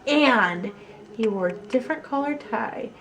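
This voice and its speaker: A woman storyteller reading a children's picture book aloud with expressive, deliberate phrasing. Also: a little high.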